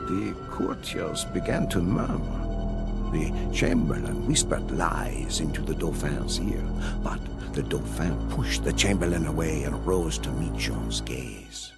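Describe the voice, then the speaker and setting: Clear music plays behind a deep-voiced old-world soldier recounting a war. His delivery is solemn and stirred, swelling and falling with lively, theatrical emphasis. It is diary-like storytelling for a video game cutscene, full of devotion. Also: a little slow, heavily acted. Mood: hushed wonder. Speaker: narrator. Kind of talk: dramatic scripted game cutscene narration, diary-like.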